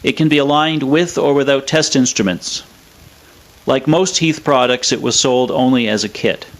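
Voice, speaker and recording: A man presenting a scripted technical explainer in a measured, matter-of-fact way. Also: medium pitch. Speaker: presenter of an electronics review.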